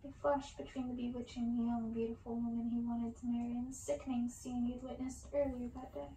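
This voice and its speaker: A woman reading a story in ASMR style, with a slow, breathy, intimate delivery. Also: a little high, flat delivery, dark tone, reverberant, slight background noise, poor audio.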